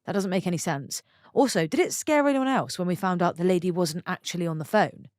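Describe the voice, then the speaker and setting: A woman reading online stories aloud for her channel, stopping for chatty, expressive asides to her viewers. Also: lowish voice, fairly quick, no echo, studio quality. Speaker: story channel narrator.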